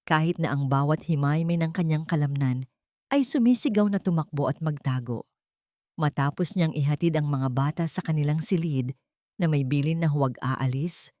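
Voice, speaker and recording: Female storyteller, low-ish and soft-toned, measured dramatic narration, close dry sound. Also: no echo.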